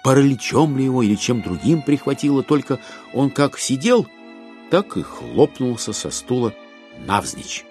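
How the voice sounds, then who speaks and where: A man narrating a literary audio drama, with expressive, ironic and deliberate phrasing. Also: medium pitch, faint music behind.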